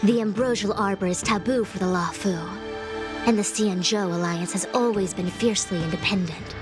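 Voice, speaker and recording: Woman acting as a game's female diviner commander, formal, measured, solemn, authoritative, music behind. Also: mid-range voice, lightly acted. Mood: composed, resolute. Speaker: game character voice actor. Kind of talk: scripted game story dialogue.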